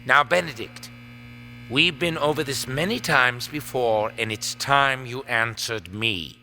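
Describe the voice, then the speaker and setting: An actor playing a sadistic, gloating villain in an audio drama, smug, taunting and menacing. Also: male voice, mid-range voice, unhurried, pushed and bright, slight background noise. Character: sadistic, gloating male villain holding captives. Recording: music under the voice. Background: dramatic background music.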